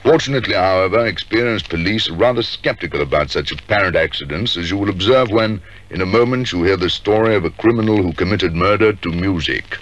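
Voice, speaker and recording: Actor as deep, grave detective narrator. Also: male voice, natural voice. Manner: formal, measured. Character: grave, authoritative police detective introducing a case. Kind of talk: scripted 1950s radio narration. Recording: dull, hissy 1950s radio recording.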